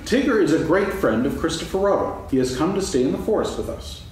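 A gentle, earnest young bear, as a man reads him, somewhat high, with a lively, sing-song pitch. It is heard from a distance in a very echoey hall with faint noise. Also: a little fast, close to an everyday voice. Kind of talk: staged reading of a children's play. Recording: echoey stage, distant mic, background noise.

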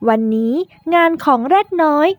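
A woman narrating a scripted children's storybook in a drawn-out, deliberate way for young learners, in the manner of a teaching tale. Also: no room sound.

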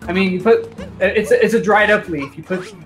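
Male co-commentator, Midwestern American, slightly high voice, casual and joking, game music behind. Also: a little fast. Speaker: esports speedrun commentator. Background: video game music.

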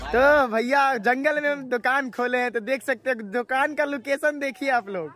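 Chatty high-voiced man filming a village vlog. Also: normal speed, pushed and bright. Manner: chatty and teasing, addresses viewers as guys. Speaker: vlogger. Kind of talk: casual village vlog chat. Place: outdoors on a rural village road. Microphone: phone held at arm's length.

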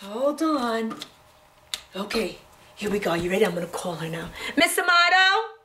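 A middle-aged woman teaching preschoolers in a classroom, talking young children through each step in an encouraging, animated way. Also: a little high, normal speed, noisy background. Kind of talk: children's educational demonstration. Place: indoor classroom.

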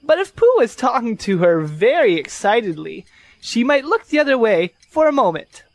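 A woman playing a bossy, self-assured male rabbit who gives brisk, officious instructions in a children's storybook audio drama. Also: a little high, very animated, no echo. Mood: scheming, bossy.

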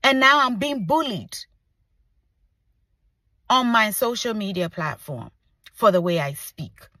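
A woman's voice: medium pitch, expressive, slow, pushed and bright, no echo, studio quality. A woman in her forties from Ghana, with an accent between Ghanaian and American, speaking passionately to her followers in a vlog. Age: 40s. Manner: passionate, emphatic, repeats for emphasis. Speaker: content creator. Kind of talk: direct-to-camera vlog.

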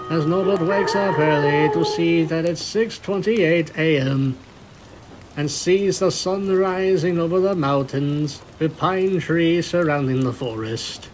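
A man's voice: on the high side, a little hiss or hum, poor audio. A man reading scripted story narration as the narrator of a fan fiction tale.

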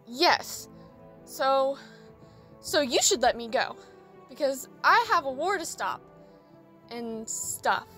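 High lively voice, woman acting as earnest little female dragon, hesitant, audio drama. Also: faint music behind, heavily acted. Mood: nervous, hesitant. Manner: hesitant, halting delivery. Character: small, unsure young female dragon, earnest and sweet.

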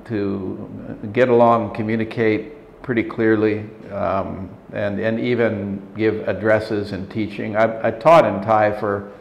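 An older man in his sixties speaks as an interview guest, slow to find his words and often restarting. His voice sits a little low, and the office room around him sounds roomy. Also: level tone, creaky, dull audio, a little hiss or hum. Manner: measured, thoughtful, frequent restarts and hesitations. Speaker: interview guest, leadership consultant. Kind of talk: reflective interview talk. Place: office meeting room at a table.

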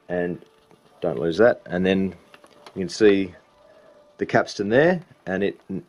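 A man's voice: deep voice, darkish tone. A man talks through a hands-on repair. His delivery is informal and explanatory, going one step at a time. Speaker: repair hobbyist demonstrating a belt change. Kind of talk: casual hands-on repair walkthrough.

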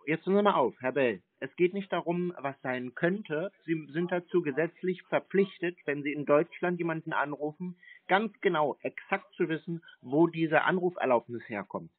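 A husky, fairly high man's voice comes through a narrow phone line. He is formal and probing, with an insistent, lecturing manner. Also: normal speed, hardly any pauses. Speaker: call recipient confronting phone scammers.

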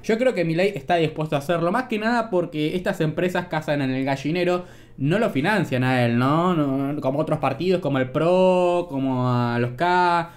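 A man's voice: on the high side, normal speed. A man with an Argentine Rioplatense accent giving casual, slangy, rambling political commentary. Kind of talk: informal opinion commentary.

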